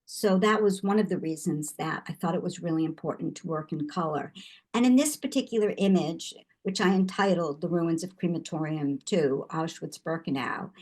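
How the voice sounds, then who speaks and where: Older woman, low-voiced, thoughtful. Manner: thoughtful, with frequent restarts. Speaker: guest artist. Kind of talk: interview answers.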